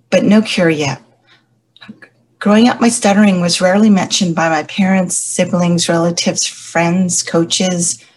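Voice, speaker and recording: A woman's voice: fairly low pitch, husky, rough recording. Reflective woman who stutters, church elder. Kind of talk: personal faith testimony.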